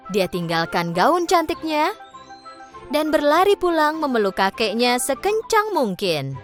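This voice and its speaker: High, animated woman storyteller. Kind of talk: scripted children's story narration.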